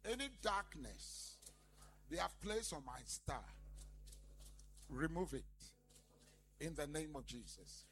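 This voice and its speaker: Animated pastor preaching. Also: male voice, a little high, takes it slowly, long pauses, a little hiss or hum. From Ghana. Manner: emphatic, calls out to the congregation. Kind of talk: lively sermon.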